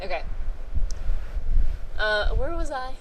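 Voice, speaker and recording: A woman reading a story aloud informally, halting and sometimes stumbling over or repeating phrases. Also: fairly high pitch, lively intonation, a bit bright, slight background noise, poor audio. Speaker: podcast host reading a short story aloud.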